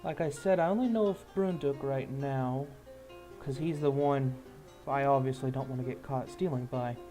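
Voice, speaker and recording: A man's voice: medium pitch, moderate pace, warm tone, lightly acted. Man playing wary thief informant, guitar music behind. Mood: businesslike. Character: wary low-life male thief and informant, casual and guarded.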